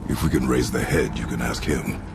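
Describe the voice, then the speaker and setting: A battle-worn father, his voice extremely low and gravelly. He speaks in terse, grave commands like a stern game hero who wastes no words. Loud music runs underneath. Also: a little fast, full character voice. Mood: grave, curt. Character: stern, gruff warrior father, laconic and weary. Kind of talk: video game cutscene acting.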